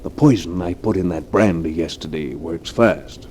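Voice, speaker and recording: Actor as a low-voiced, urbane, ruthless scheming villain. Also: male voice, moderate pace, a little mellow, slight background noise, lightly acted. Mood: coldly menacing. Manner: smooth, urbane, coolly matter-of-fact. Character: ruthless scheming rich fugitive businessman, coolly murderous. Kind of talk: old-time radio drama acting.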